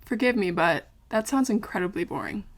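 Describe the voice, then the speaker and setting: An actress playing a polite, earnest young investigative reporter with an American accent in an audio drama. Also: female voice, medium pitch, animated, a little fast. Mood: careful, sympathetic. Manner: polite, wry. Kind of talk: audio drama acting, narrated recorder diary.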